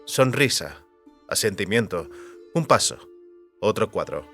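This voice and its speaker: A man reading scripted literary narration for an audiobook with measured, deliberate phrasing. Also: lowish voice, sing-song, pushed and bright. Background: faint music.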